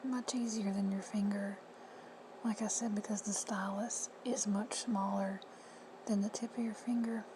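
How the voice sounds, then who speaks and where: A woman with a hoarse, husky voice giving plain, friendly step-by-step instructions in a casual how-to. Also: mid-range voice, fairly even intonation, unhurried. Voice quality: whispery, husky. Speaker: reseller tutorial host. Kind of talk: casual screen-recorded how-to. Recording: noisy home recording.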